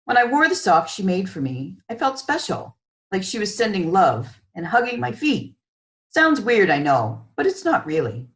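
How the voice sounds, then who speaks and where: Expressive woman reading a children's story. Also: medium pitch, moderate pace. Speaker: children's book author reading aloud.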